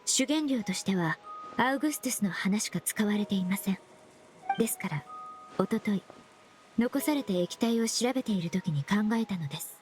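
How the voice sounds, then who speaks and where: A woman voicing a polite, analytical young perfumer, speaking in a gentle, precise and measured way for an anime game. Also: mid-range voice, lively intonation, soft background music, lightly acted. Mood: composed explaining.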